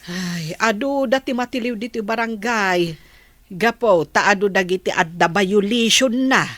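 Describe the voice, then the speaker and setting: A woman's voice: mid-range voice, unhurried, a bit bright, no room sound. A woman with an Ilocano accent playing a fretful, inquisitive village woman in a comedy radio drama.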